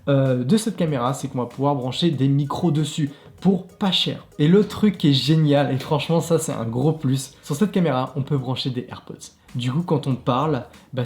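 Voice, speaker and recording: Upbeat male vlogger, French accent, fairly high but soft voice, roomy sound. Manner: chatty, upbeat, laughs at himself. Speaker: tech reviewer, channel host. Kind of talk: casual product review vlog. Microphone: clip-on mic.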